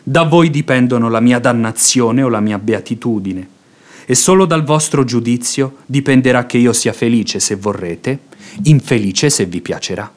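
A man's voice: medium pitch, moderate pace, lightly acted. An actor playing a fawning, impassioned religious hypocrite in a radio drama, declaiming his pleas in florid, unctuous phrases. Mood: fervent, humble. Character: pious hypocrite seducer, fawning, impassioned, silver-tongued man. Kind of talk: theatrical radio drama acting.

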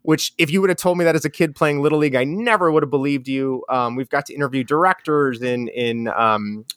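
A mellow man with a strong Chicago accent chats as a podcast guest, rambling and restarting his sentences. His voice sits in a middle pitch. The recording is dry, with almost no room sound. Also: dry recording. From Chicago area. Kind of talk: casual conversational interview.

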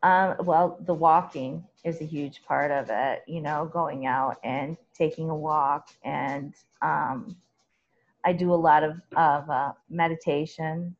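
A talkative woman with a loud voice, chatting as an interview guest and laughing often as she talks. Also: low voice, fairly even intonation, slow, highs cut off. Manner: chatty and laughing, with many restarts. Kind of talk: casual conversational interview.

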